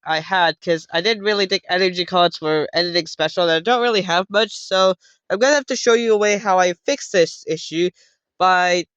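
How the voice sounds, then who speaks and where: High-voiced man explaining, dull lo-fi sound. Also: brisk, smooth, dry recording. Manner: loud, rambling, self-correcting. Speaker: host of a card game tutorial video. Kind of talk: casual unscripted how-to explanation.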